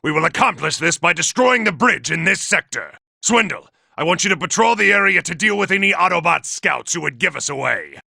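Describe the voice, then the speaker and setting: A giant war robot from a video game, a male military tactician used to command. The voice is heavily gravelly and rasping, with a hard, cutting edge. He is impatient and forceful, and his pitch moves widely. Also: lively intonation, a little fast, no echo, clean studio sound, full character voice. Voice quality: gravelly, raspy. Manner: forceful, barking orders. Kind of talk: video game character acting.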